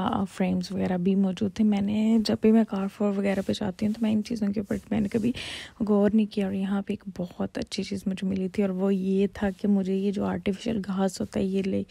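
Young woman vlogger, gravelly and mellow, chatty joking voice-over, dry close sound. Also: medium pitch, dry recording. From Pakistan. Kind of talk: casual voice-over narration.

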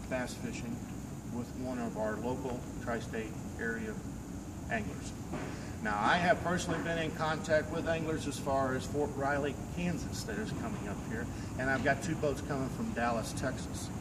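Middle-aged man in an echoey hall. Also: mid-range voice. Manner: folksy, earnest. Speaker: amateur bass angler promoting an event. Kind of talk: semi-scripted promotional address to camera. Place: large indoor garage or hall with concrete floor. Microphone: camera a few metres away. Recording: echoey hall, distant camera mic, loud hum.

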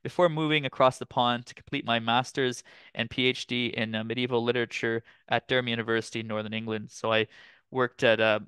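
A man from Canada in his thirties giving a relaxed, chatty academic presentation over a video call, with frequent fillers. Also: mid-range voice, brisk, clean tone, no room sound. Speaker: guest lecturer. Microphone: computer mic on video call.

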